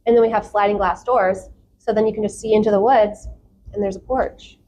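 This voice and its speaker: A woman's voice: medium pitch, fairly even intonation, brisk, mellow, a little hiss or hum. A young American woman from South Florida, chatting casually and animatedly as a podcast guest. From South Florida, United States. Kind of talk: casual conversational interview answers.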